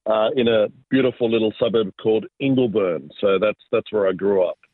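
Halting man, thin phone-like sound. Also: lowish voice, dry recording. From Argentina, raised in Sydney. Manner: reflective, halting, many fillers and restarts. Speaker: guest, business pastor. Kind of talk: casual interview storytelling. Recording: dry, phone-like narrow sound.

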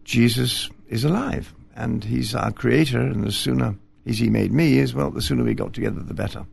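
Older clergyman guest, heavy vocal fry, gentle and self-deprecating, studio interview. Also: medium pitch, a little fast. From Scotland. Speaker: retired bishop, guest. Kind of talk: relaxed interview conversation. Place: television studio.

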